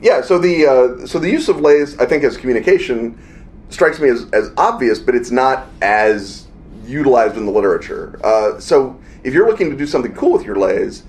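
A man's voice: medium pitch, lively intonation, moderate pace, darkish tone. A man co-hosting a podcast, rambling enthusiastically in casual chat from a hotel room. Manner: rambling, enthusiastic, full of fillers. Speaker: podcast co-host.

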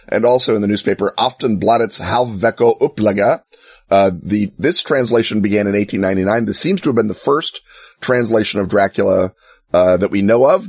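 A man with a slightly low, husky voice talks through his subject with plenty of enthusiasm and wry humor. His telling is loose and chatty, full of ums and uhs. The sound is dry and close, with no room around it. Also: no echo. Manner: enthusiastic, wry asides, many fillers. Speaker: podcast co-host. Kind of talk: casual explanatory chat.

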